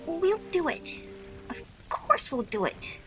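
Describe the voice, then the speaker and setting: A woman voicing a meek, emotional young female pony with a shrill voice in a dramatic fan fiction audio story. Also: big pitch swings, takes it slowly, music in the background, full character voice. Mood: resigned urgency.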